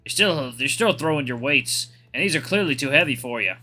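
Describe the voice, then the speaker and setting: Man putting on a cocky Irish raptor, forceful and bright. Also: medium pitch, expressive, fairly quick, faint music behind. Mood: bossy coaching. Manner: teasing, forward, coaching. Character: huge cocky flirty male raptor gym regular. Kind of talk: visual novel character voice-acting.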